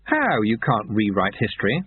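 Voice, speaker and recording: A man's voice: fairly low pitch, wildly swooping pitch, moderate pace, slightly rough, no echo, heavily acted. A man voicing an anxious, bewildered young novice who keeps asking questions. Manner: questioning, shaky and earnest. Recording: tinny phone-like sound.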